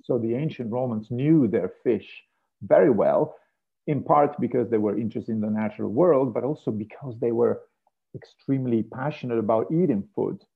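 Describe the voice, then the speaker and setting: Gravelly Italian man lecturing over a thin line. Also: mid-range voice. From Italy, Rome. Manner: hesitant, many fillers and restarts. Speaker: marine biology professor. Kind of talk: online lecture. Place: home room with a piano. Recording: gravelly, tinny video call audio.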